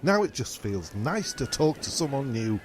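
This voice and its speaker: Mild-mannered island gang member man acting, animated radio comedy voice, slight background noise. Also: mid-range voice, normal speed, close to an everyday voice. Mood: plaintive.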